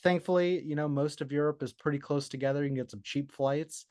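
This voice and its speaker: A man's voice: on the high side, fairly even intonation, brisk, darkish tone, no echo, studio quality. Upbeat man interviewing on a call. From United States. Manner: enthusiastic, chatty, laughs easily. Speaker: interviewer for a music blog. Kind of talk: casual interview chat.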